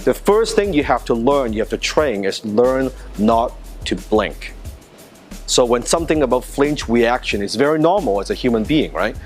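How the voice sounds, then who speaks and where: A man's voice: medium pitch, sing-song, moderate pace, soft background music. Earnest man teaching self-defense. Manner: earnest, conversational, with restarts and repetitions. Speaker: martial arts instructor.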